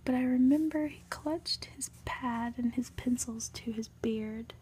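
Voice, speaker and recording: A woman reads a storybook aloud for ASMR in a soft, breathy, gentle whisper, with deliberate, unhurried phrasing. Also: on the high side. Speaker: ASMR reader.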